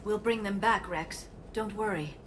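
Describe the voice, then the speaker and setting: An actress playing a soft-spoken, helpful female alien companion in a video game. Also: mid-range voice, measured pace, a little hiss or hum, close to an everyday voice. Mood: reassuring.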